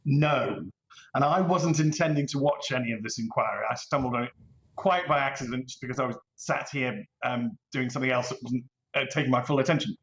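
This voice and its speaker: A man's voice: on the high side, fairly bright tone. A British man giving emphatic interview commentary as a guest, over an echoey, dull remote link.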